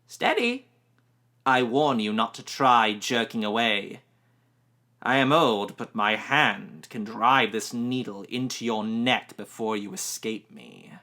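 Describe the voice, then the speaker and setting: A man voicing an ancient, stern woman who tests a boy with slow, commanding menace. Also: fairly low pitch, animated, pushed and bright, exaggerated voice.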